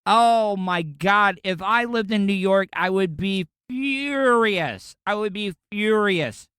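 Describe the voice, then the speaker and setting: A man's voice: sits high, slow pace, sharp tone, smooth, no echo, clean studio sound. A man, hosting a podcast and ranting sarcastically about the news in a rambling, indignant way. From Florida. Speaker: podcast host. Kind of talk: casual ranting commentary.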